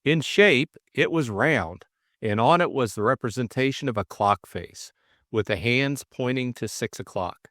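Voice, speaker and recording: A man narrates a classic thriller audiobook in a scripted, dramatic storytelling style. His voice sits at an average male pitch, and the recording is close and dry. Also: expressive, moderate pace, fairly bright tone, no echo.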